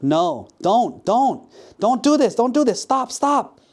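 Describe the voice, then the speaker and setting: A man's voice: very animated, slow pace, exaggerated voice. A man voicing a frightened, furious woman in a told story, exclaiming and repeating the words. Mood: panicked pleading. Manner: high, pleading, repetitive exclamations.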